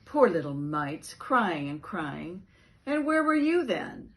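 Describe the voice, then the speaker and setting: A woman's voice: lowish voice, sing-song, slow pace, pitched above the performer's own voice, heavily acted. A woman voicing an earnest, tender male family dog in a children's storybook read-aloud. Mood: indignant.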